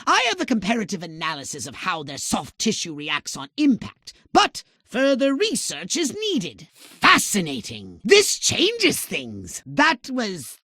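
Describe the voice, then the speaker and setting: A pompous little alien commander from a video game, with a high voice that swoops wildly up and down. He is pedantic and easily exasperated, delivering scientific jargon with sardonic theatrical relish. The sound is dry and close. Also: huge pitch swings, unhurried, few pauses, pushed and bright, breathy edge, dry recording, full character voice. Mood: scheming, clinical. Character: fussy, haughty alien scientist commander, male, scheming and exasperated.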